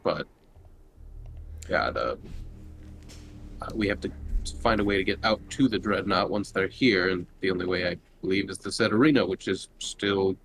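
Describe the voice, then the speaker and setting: Low-voiced man with noisy background. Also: normal speed, long pauses, fairly bright tone. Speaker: tabletop game player. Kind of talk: casual in-character roleplay. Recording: noticeable background noise on mic.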